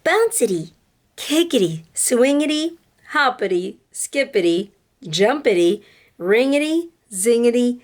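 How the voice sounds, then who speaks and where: A woman teacher reading a picture book aloud expressively and explaining it to children, with deliberate phrasing and questions. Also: a little high, sing-song.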